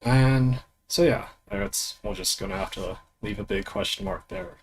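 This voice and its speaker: A man's voice: mid-range voice, sing-song, vocal fry, a little hiss or hum. Laid-back rambling young man. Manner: rambling, halting with many fillers and restarts; laid-back, swears casually. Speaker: VTuber streamer. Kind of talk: casual self-introduction stream.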